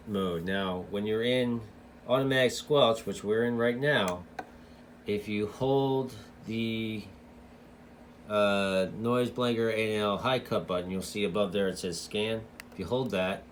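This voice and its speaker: A man's voice: lowish voice, a little hiss or hum. A man giving a casual, loosely explained product walkthrough as a radio hobbyist reviewer, in an informal, rambling way.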